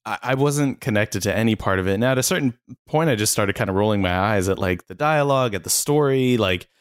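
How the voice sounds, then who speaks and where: A man's voice: lowish voice, brisk, clean tone, no room sound, clean studio sound. A man co-hosting a casual movie review chat, laid-back and full of fillers, laughing often.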